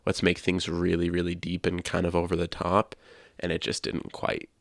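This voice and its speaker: A man co-hosting a casual video game discussion, offering criticism in a measured, qualified way close to the mic. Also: low voice, completely flat delivery, gravelly, dry recording. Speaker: podcast co-host.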